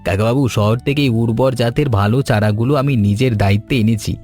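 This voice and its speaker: A man's voice: mid-range voice, fast talker, a little mellow, natural voice. A man playing a grateful, humble village butcher in a cartoon story, earnest and respectful in delivery. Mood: earnest, encouraging. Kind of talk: Bengali cartoon story voice acting. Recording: music behind the voice. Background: music.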